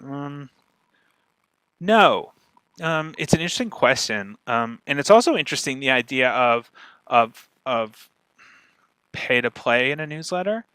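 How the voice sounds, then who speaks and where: A man's voice: medium pitch, lively intonation, unhurried, long pauses, pushed and bright, smooth, dry recording. A man speaking as a podcast strategist in an informal question-and-answer talk, emphatic and opinionated.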